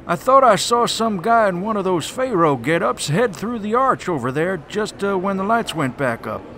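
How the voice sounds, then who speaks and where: Museum guard played by a man: everyday, highish voice, matter-of-fact, audio drama, clear noise behind. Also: very animated, moderate pace, fairly bright tone, heavily acted. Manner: plain, casual, businesslike.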